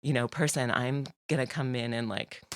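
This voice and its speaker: A woman's voice: a bass voice, steady tone, moderate pace, no room sound. Chatty laughing woman, dry sound. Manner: chatty, laughs often, many fillers. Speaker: podcast guest. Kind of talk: casual conversational interview.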